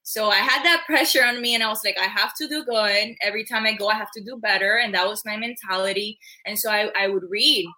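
A woman's voice: medium pitch, fast talker, few pauses, pushed and bright. A woman from Cuba, a journalism student, chatting casually and laughing as she tells stories. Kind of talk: casual chat.

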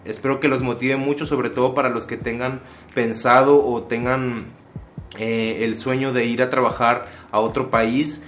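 A man's voice: mid-range voice, flat delivery, normal speed, a bit bright. Podcast host, male, from Mexico, easygoing presenter, faint hiss behind. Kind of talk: podcast intro and interviewing. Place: at home, remote call.

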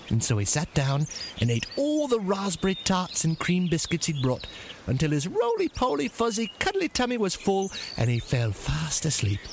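A man's voice: on the high side, background noise. A man playing a genteel storyteller, narrating a children's story in a theatrical, whimsical manner.

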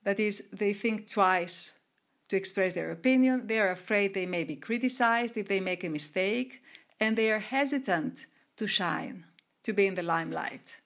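A middle-aged woman from Greece, answering interview questions in a measured way with frequent restarts and fillers. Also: mid-range voice, a bit bright. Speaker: guest, researcher and coach of women entrepreneurs.

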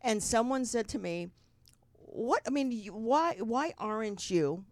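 Female host, late fifties, frank and chatty, a bit high-pitched, dry mic. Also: expressive, measured pace, a little mellow, no echo. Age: 50s. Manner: candid, chatty, swears casually. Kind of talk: casual chat.

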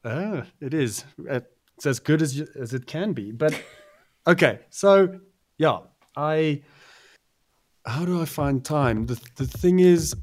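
Slow-talking playful man, podcast guest. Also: on the high side, animated. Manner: playful, self-mocking. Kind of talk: casual chat.

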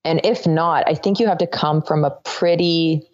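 A woman's voice: sits low, fairly even intonation, moderate pace, darkish tone, no echo. A woman chatting casually and reflectively as a podcast guest. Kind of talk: casual chat. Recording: dull, dry, lo-fi audio.

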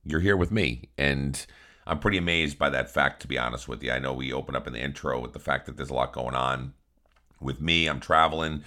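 Middle-aged male podcast host, extremely low deep voice, loose upbeat rambling delivery. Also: steady tone, brisk, pushed and bright. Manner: rambling and upbeat, with frequent restarts. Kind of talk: casual solo podcast monologue.

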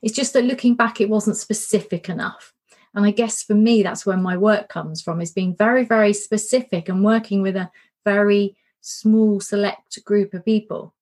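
A middle-aged woman talks through a workshop in a relaxed, candid way, often repeating words for emphasis. Her voice sounds a little worn, as if she's starting to lose it. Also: medium pitch, normal speed. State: slightly losing her voice. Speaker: coach and podcast host. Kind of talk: casual spoken workshop talk.

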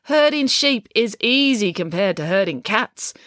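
Grumpy elderly woman, blunt and bossy, pitch swinging widely as she snaps woman reader acting her out, storybook character. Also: lively intonation, unhurried, a bit bright, dry recording, clean studio sound. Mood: brusque, blunt. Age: older. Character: grumpy, snappy old woman on crutches, blunt and commanding.

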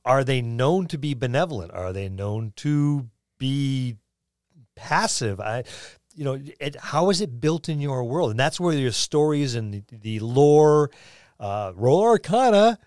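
Guy co-hosting a gaming podcast, mid-pitched voice, just chatting, really dry audio. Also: lively intonation, a little slow, a little mellow, no room sound. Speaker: podcast co-host, game master. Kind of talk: casual conversational discussion.

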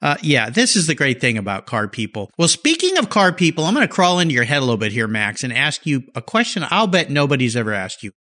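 Lively, bright-voiced male host. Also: mid-range voice, quick pace, few pauses. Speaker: podcast host. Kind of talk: interview host chat.

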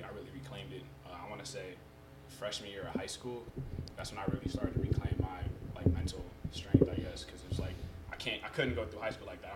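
Young man, first language Yoruba, low voice, rapid casual storyteller, noisy echoey room. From United States. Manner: relaxed storytelling, frequent fillers. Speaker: podcast guest. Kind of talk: casual conversation. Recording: echoey room with background noise.

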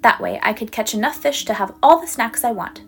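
A woman's voice: a little high, lively intonation, a little fast, husky, soft background music. A woman voicing a silly, dreamy little boy duckling who talks in an eager, wishful way for a storybook.

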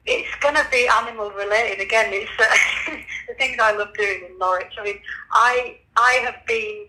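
A man's voice: high voice, normal speed, sharp tone, slight background noise, low-quality recording. A man from Leeds with a Leeds accent, a tofu business owner chatting casually in an interview, repeating words and restarting often. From Leeds, England.

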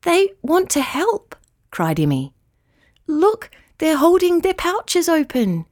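A woman voicing an eager, excited young girl in a children's storybook, pleading breathlessly. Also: very high voice, slow, pitched above the performer's own voice, heavily acted.

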